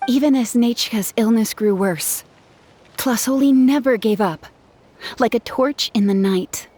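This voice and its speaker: A woman's voice: mid-range voice, a little mellow, slight background noise, lightly acted. A young woman voicing an earnest, friendly gem artisan in video game dialogue, telling her story in a chatty way. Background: game soundtrack music.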